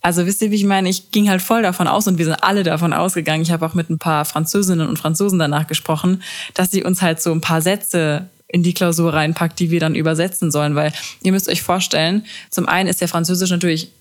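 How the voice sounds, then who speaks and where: A woman's voice: on the low side, fairly even intonation, fast. A woman in her twenties hosting a podcast, chatting casually and self-deprecatingly and mixing in English words. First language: German. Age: 20s. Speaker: podcast host. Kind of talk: casual chat.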